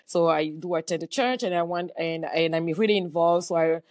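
Woman, middle-aged guest, slightly low pitch, stop-start phrasing, dry close sound. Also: a little mellow, no room sound. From Cameroon. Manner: halting, many fillers and restarts. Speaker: guest entrepreneur. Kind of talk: conversational interview answer.

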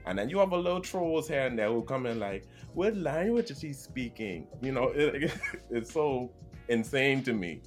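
A man from the Bahamas with a thick Bahamian accent, hosting a podcast in animated, laughing chat. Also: medium pitch, moderate pace, faint music behind. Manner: animated, laughs while talking. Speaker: podcast host.

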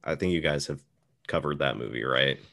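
A man's voice: extremely low pitch, brisk, vocal fry, bright, forceful tone. A man hosting a podcast, talking through films in a casual, conversational way. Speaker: podcast host. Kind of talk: casual film discussion.

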